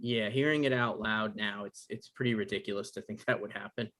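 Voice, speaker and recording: A man's voice: lowish voice, steady tone, brisk, fairly bright tone, natural voice. A man acting an anxious, easily flustered novelist in a conversational comedy script reading. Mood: subdued. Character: anxious, defensive male novelist in his thirties.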